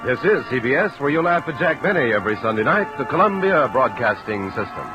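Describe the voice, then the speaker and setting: A man's voice: mid-range voice, very animated, fairly quick, over loud music. A man doing polished, scripted 1950s radio announcing, with a promotional delivery.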